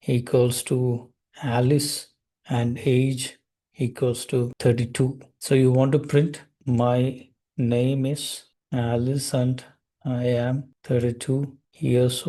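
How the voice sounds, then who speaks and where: A young man, slowly explaining code step by step as he types in a tutorial. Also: mid-range voice, little pitch movement, dark tone. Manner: slow, step-by-step explaining while typing code. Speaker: programming tutor. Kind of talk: screen-recorded coding tutorial.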